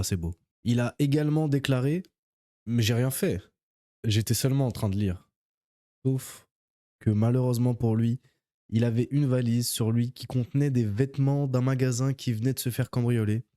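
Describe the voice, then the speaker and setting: A man's voice: mid-range voice, warm tone, no room sound, clean studio sound. A man casually telling an odd news story to his viewers, with playful, mock-admiring asides. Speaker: YouTube storyteller.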